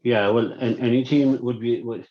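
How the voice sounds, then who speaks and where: A man's voice: medium pitch, steady tone. A man giving a sports preview on radio, stumbling and repeating words as he talks. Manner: halting, many repeated words and restarts. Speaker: sports analyst. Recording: dull lo-fi radio sound.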